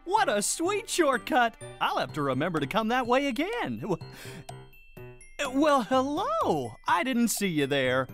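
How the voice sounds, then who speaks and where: An actor voicing a bouncy, friendly guide in a candy land for an animated children's game, playful and exclamatory. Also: medium pitch, soft background music. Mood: playful, welcoming. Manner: exclamatory, playful, drawn-out greetings.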